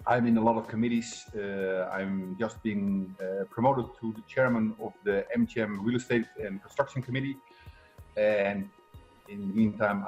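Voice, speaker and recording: A man's voice: lowish voice, a little mellow. A middle-aged man from the Netherlands with a Dutch accent, talking conversationally as an upbeat coach being interviewed. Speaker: guest, success coach and entrepreneur.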